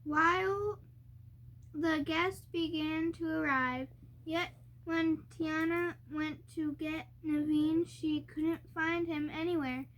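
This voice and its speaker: Little kid reading a story out loud, high voice, super slow and hesitant. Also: fairly even intonation, low-quality recording. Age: child. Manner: hesitant, word-by-word, stumbling over harder words. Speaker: young reader reading aloud with mother. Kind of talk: halting storybook read-aloud.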